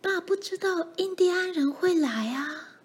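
A woman voicing a frightened but brave young child in a storybook, in urgent, hushed, hoarse whispers. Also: on the high side, normal speed. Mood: scared, urgent.

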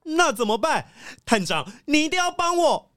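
A woman's voice: high voice, very animated, moderate pace, a bit bright, no echo, clean studio sound. A woman with a Taiwanese accent voicing an earnest, easily baffled wolfdog sheriff who exclaims a lot in a children's audio drama. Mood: astonished.

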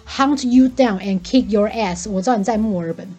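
A woman with a Taiwanese accent venting about work in casual chat, animated and indignant, mixing in English phrases. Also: medium pitch, moderate pace, slightly rough.

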